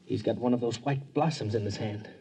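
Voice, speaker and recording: An actor playing a wary space explorer in a 1950s radio drama, speaking with hushed wonder. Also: male voice, on the low side, a little fast, slight background noise, close to an everyday voice. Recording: vintage radio recording, faint music.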